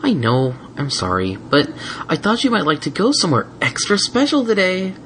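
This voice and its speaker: A man's voice: high voice, huge pitch swings, normal speed, a bit bright, a little hiss or hum, pitched above the performer's own voice, full character voice. A man voicing a prim, coaxing female unicorn guardian in a fan fiction story, sweet but firmly insistent. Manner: coaxing, silken, primly insistent. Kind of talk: fan fiction audiobook character voice.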